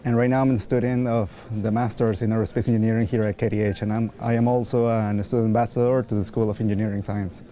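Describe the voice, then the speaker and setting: A man's voice: lowish voice, flat delivery, quick pace, few pauses, warm tone. A young man from Venezuela in his twenties, a student ambassador speaking on a panel in a large hall, earnest with slight slips. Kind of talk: panel chat. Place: large library hall indoors.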